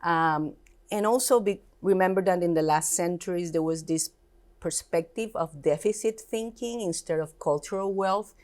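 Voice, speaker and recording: Interview guest: woman, Spanish native, Puerto Rican accent, very low voice, earnest and emphatic. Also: normal speed, darkish tone. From Puerto Rico. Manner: earnest, emphatic, slightly non-native grammar. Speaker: education institute director, guest. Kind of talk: casual interview conversation.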